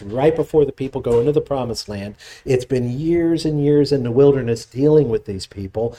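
A man preaching, reading scripture aloud, praying, then explaining the passage in a measured, teaching way. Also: dark tone. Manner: measured expository preaching, voice drops low in prayer. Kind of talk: sermon with scripture reading and prayer.

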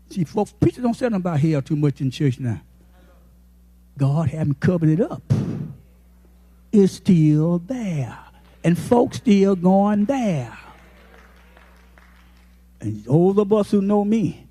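A man in his eighties, a pastor, preaching a folksy, impassioned sermon that rises to shouted peaks. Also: high voice, breathy edge. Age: 80s.

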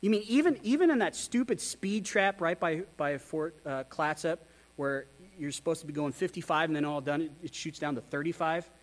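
A man with a notably high voice delivering a sermon. He talks with candor and a self-deprecating streak, and he keeps asking his listeners rhetorical questions. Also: moderate pace. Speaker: pastor.

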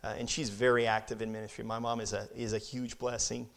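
A man's voice: medium pitch, level tone, quick pace, creaky. A man in his thirties who grew up in Brazil, giving an earnest, conversational missionary talk to a church, often repeating words as he goes. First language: Portuguese. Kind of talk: church presentation, Q&A.

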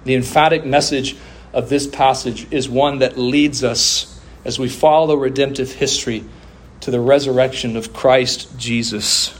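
A man delivering a sermon as a preacher, with emphatic oratory, deliberate pauses, drawn-out phrases and rhetorical refrains. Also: medium pitch, a little hiss or hum. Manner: rhetorical questions and repeated refrains.

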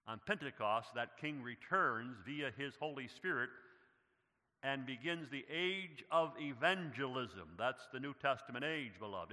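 Bright-voiced preacher in a reverberant church. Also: male voice, mid-range voice. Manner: builds to loud, emphatic preaching, addresses listeners as beloved. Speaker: pastor. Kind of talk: sermon. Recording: long reverb of a church hall. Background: long hall reverb.

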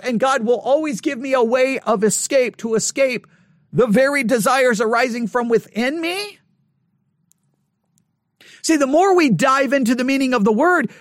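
A man leading a Bible study, thinking aloud in a halting way and often repeating words as he works through an idea. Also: extremely high pitch, a bit bright, dry recording, studio quality.

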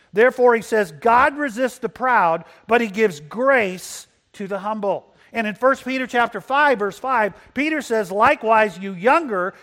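Man, high-pitched voice, first language Spanish, pastor, sermon, asks the congregation questions. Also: fairly bright tone.

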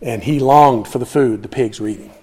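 A middle-aged man preaching a sermon, animated, acting out quoted lines, with frequent restarts. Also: mid-range voice, a little fast, breathy edge, poor audio. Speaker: pastor.

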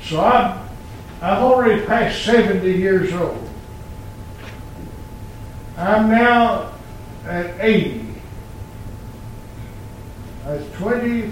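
An old man in his eighties preaching a sermon in a church, quoting scripture in slow, emphatic phrases. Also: high voice, a little hiss or hum. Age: 80s. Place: church sanctuary. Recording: very echoey church from far off.

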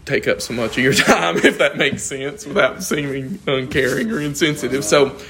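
A man's voice: medium pitch, moderate pace, crowd noise. A man, losing his voice, teaching an informal Bible class in a conversational, thinking-aloud way and asking the class questions.

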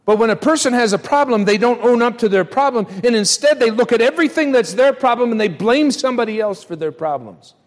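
High-pitched male preacher in an echoing hall. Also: expressive, brisk, hardly any pauses. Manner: conversational preaching with rhetorical questions to the congregation. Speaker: preacher at a church. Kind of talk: sermon. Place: large reverberant church room, live service. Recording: reverberant hall, live church sermon.

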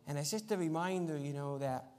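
A man's voice: fairly high pitch, a little fast, darkish tone. A man who grew up in Argentina, preaching as a visiting missionary in an informal, plain-spoken way to a congregation. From Argentina, as a missionary kid. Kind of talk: sermon with anecdotes and prayer. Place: church sanctuary, live Sunday service. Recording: echoey church hall with long reverb.

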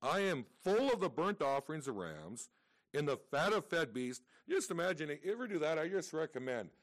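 A man with a loud voice teaching a church verse by verse. He reads scripture aloud with strong emphasis and breaks into casual asides to his congregation. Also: a little high, sing-song, normal speed. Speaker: pastor.

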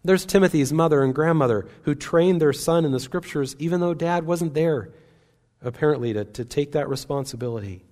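A man's voice: fairly high pitch, brisk, a little mellow. A man preaching a sermon in a church service, speaking in an earnest, conversational way with small restarts.

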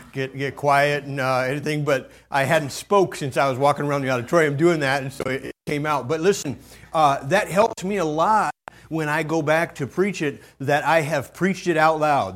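Pastor guy preaching, slightly gravelly, folksy, tells stories. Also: on the high side. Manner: folksy storytelling, loud bursts when quoting his own preaching. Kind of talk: sermon.

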